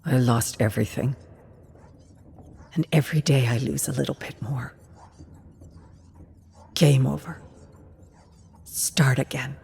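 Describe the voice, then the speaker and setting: Actress as competitive young woman gamer, bitter and clipped, husky low voice, game-lore monologue. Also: slow, long pauses, a little mellow, exaggerated voice. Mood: defeated.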